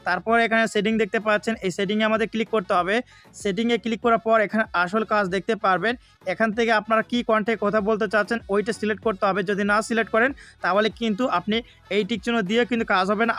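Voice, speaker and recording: High-pitched, fast-talking Bangladeshi man, even delivery. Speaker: gaming YouTuber. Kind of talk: tutorial narration. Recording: faint background music. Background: music.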